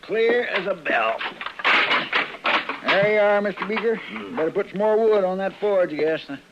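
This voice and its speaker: High-voiced, mild western sidekick. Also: male voice, animated, normal speed. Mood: mild, chatty. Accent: American. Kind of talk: 1950s radio western acting. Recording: dull vintage radio recording.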